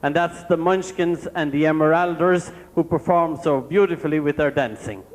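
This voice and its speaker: A man's voice: on the high side, normal speed, very noisy. An Irish man acting as master of ceremonies at an amateur stage show, effusively reading out thanks and names as he projects to the audience. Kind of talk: live stage announcements and thank-you roll call. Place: large indoor theatre hall, on stage. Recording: echoey hall with loud music, live stage video.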